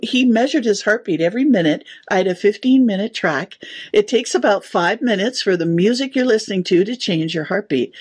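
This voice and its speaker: Husky-voiced woman explaining with enthusiasm. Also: mid-range voice. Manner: enthusiastic, explanatory, laughs easily. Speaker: sound therapist guest. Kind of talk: interview answers.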